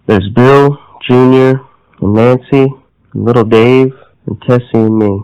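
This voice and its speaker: Man as a clear-voiced, plain village husband. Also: mid-range voice, steady tone, unhurried, darkish tone, no room sound, highs cut off, natural voice. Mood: matter-of-fact.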